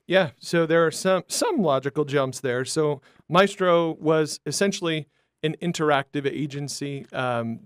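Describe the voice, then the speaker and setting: A man being interviewed as a startup founder, telling his story in a lively, talkative way. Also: medium pitch, clean tone, no room sound, studio quality. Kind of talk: conversational interview.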